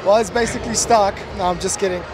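A man's voice: sits high, steady tone, fast. A man in his thirties chatting casually as a guest, laid-back with dry, reluctant jokes, in a large indoor show hall. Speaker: car owner guest. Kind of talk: casual chat. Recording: noisy crowded indoor hall. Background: crowd chatter and hall noise.

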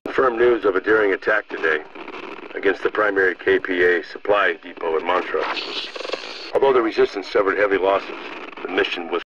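A man's voice: low-pitched, fairly quick. An actor playing a sober male news announcer reading a scripted resistance bulletin over the radio. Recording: muffled distant radio with background noise.

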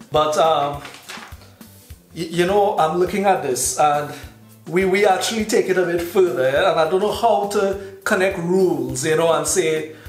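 A man in his thirties with a Trinidadian accent, casually explaining to the camera as a video teacher. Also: a little high. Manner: relaxed, explanatory, gestures while talking. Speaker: YouTube host teaching. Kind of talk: casual talking-to-camera lesson. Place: indoors in front of a plain backdrop. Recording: echoey room with faint music.

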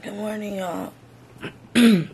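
A young woman vlogger with a hoarse, worn-out voice, chatting casually to her viewers from her bedroom. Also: mid-range voice, fairly even intonation, takes it slowly, noisy background. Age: young adult. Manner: chatty, addresses viewers as y'all. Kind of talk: casual chat to camera. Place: bedroom, indoors. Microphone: camera on a desk, close framing.

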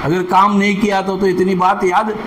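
A man's voice: high voice, level tone, moderate pace. A man, explaining things as a senior technical instructor in an interview. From India. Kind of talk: explaining in an interview before a class. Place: small classroom, indoors. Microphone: clip-on lapel mic. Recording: noisy room ambience.

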